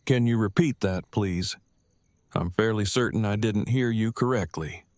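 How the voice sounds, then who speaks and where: A man's voice: fairly low pitch, unhurried, darkish tone, no room sound, clean studio sound, close to an everyday voice. A man playing an awkward, bookish nerd with a precise, wordy, formal delivery in an audio drama. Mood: bewildered. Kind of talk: fan audio drama acting.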